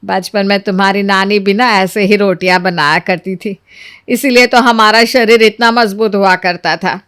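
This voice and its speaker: A woman's voice: mid-range voice, steady tone, moderate pace, fairly bright tone, smooth, lightly acted. A woman storyteller voicing an older mother-in-law who is kindly, agreeable and homely in manner. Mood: kindly, approving. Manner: approving, homely.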